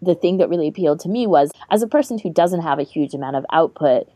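This is a woman with a distinctly low, warm and relaxed voice. She co-hosts a podcast in a casual discussion style, recorded very dry. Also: quick pace, no room sound. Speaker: podcast co-host.

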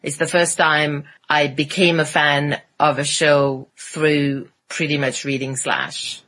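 A woman's voice: sits low, fairly even intonation, slow pace, fairly bright tone. A woman on a podcast panel talking casually about fandom in a reflective, analytical way.

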